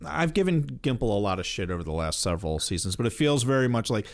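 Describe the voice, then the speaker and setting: A man's voice: mid-range voice, big pitch swings, quick pace. A man co-hosting a podcast in a casual chat, often repeating phrases for emphasis and acting out quotes. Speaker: podcast co-host. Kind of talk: casual chat about TV and comics.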